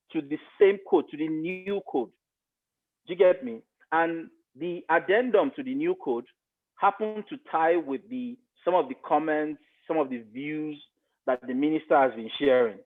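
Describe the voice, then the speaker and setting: Nigerian man explaining, slightly phone-like radio sound. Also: on the high side. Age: middle-aged. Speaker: guest, broadcast media expert. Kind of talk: podcast interview, explaining an opinion.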